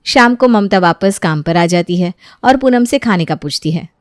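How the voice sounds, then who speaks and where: A woman narrating a scripted moral story as an expressive storyteller. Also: on the low side, normal speed, no echo, studio quality.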